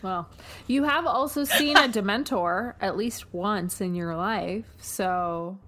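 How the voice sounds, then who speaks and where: A woman's voice: mid-range voice, slow pace, very noisy. A woman co-hosting a podcast with casual banter and an informal, sweary way of talking. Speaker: podcast co-host.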